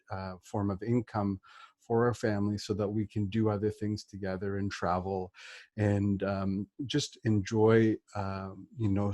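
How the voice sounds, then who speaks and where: Low, even-toned middle-aged Canadian man. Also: dry recording. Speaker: interview guest, real estate investor and coach. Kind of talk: informal spoken self-introduction in an interview.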